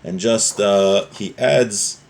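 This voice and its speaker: A man's voice: lowish voice, steady tone, slow pace, vocal fry, darkish tone. Man teaching an informal religious class. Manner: explanatory, often checking with "right?". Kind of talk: informal religious class, reading Hebrew text and explaining it.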